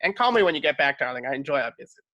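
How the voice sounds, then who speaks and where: A woman's voice: low-pitched, big pitch swings, racing, a bit bright. A woman playing an imperious, theatrical older fashion designer in an amateur table read. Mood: bossy, rousing.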